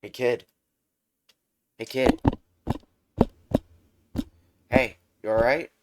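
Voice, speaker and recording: A man voicing a big, heroic male superhero who speaks in a loud, formal, declaiming way in a fan-told story. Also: mid-range voice, animated, takes it slowly, heavy background noise, voice pushed up. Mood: heroic, booming. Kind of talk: fan retelling of a hero story.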